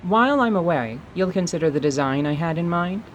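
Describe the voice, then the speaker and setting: A woman's voice: on the low side, expressive, fairly quick, a little hiss or hum, voice pushed up, close to an everyday voice. Woman playing a wry, teasing devil-woman mercenary. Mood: polite, brisk.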